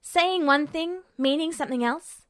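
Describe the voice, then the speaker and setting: A woman's voice: sits high, normal speed, fairly bright tone, pitched above the performer's own voice. A woman voicing a stubborn, defensive young male soldier in a story, with a stiff, griping manner. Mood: irritated. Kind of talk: audiobook character dialogue.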